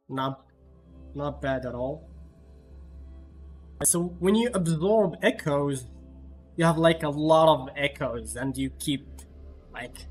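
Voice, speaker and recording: Slow, halting male game streamer. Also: fairly high pitch. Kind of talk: casual live gameplay commentary. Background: faint game music.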